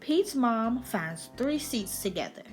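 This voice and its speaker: A woman's voice: a little high, sing-song, slow, faint music behind. A woman reading a children's picture book aloud as a storyteller on her channel.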